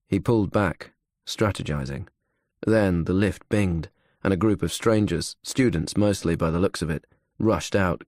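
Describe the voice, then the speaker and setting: Male audiobook narrator for suspense fiction, very low register, close dry sound. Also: darkish tone, no echo. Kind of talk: scripted thriller narration.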